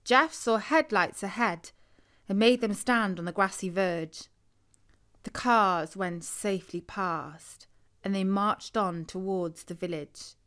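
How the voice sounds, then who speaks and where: A woman's voice: mid-range voice, slow, fairly bright tone, clear, resonant tone. A woman with a British accent narrating a scripted bedtime story for children.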